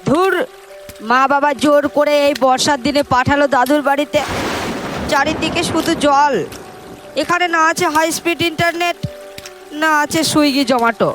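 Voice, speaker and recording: Cartoon part: performer as sulky city grandkid, high-pitched, whiny, exaggerated, light music underneath. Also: fairly even intonation, normal speed, long pauses, close to an everyday voice. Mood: sulky complaining. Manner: complaining, exaggerated calling out. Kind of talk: cartoon voice acting.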